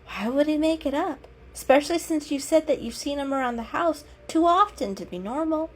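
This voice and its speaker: A woman voicing a friendly, bookish female house cat in a storybook reading, with an eager, warm and inquisitive manner. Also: sits high, brisk, voice pushed up, close to an everyday voice. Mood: confused, questioning.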